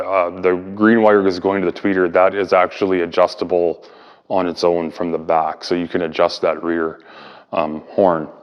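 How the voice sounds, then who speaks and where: Male hobbyist host, low clean voice, informal hands-on explainer delivery, thinking aloud, reverberant room sound. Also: clear, resonant tone, lo-fi sound. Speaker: hobbyist video host and speaker restorer. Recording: echoey room with long reverb.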